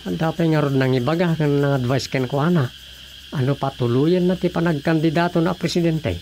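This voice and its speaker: A man acts as a grumbling, opinionated Filipino man in a radio drama. His voice is high for a man and full of creak. Faint ambient noise lies behind it. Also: a little fast, a little mellow, lightly acted. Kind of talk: Ilocano radio drama dialogue.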